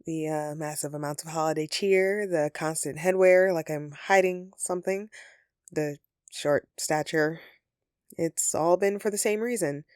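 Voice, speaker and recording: Woman acting as female Christmas elf, lowish pitch, sheepish, friendly, earnest, conversational roleplay, dry close sound. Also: a little slow, a little mellow, clear, resonant tone, dry recording. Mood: flustered, sheepish. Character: flustered, friendly female Christmas elf, secretly living as roommate. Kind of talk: audio roleplay addressed to the listener.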